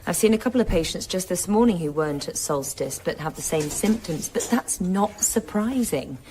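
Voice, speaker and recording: A woman playing a brisk, dismissive family doctor in a naturalistic radio drama, firm, matter-of-fact and condescending. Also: fairly low pitch, a little mellow, natural voice.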